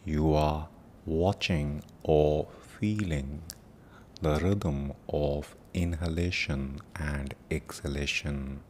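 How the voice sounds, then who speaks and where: Man, meditation teacher, very deep voice, extremely slow pace, solemn prayerful delivery, long pauses, rumbly background noise. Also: steady tone, darkish tone. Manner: solemn, deliberate, with long pauses between short phrases. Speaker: meditation teacher and podcast host. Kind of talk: guided meditation with prayerful invocation.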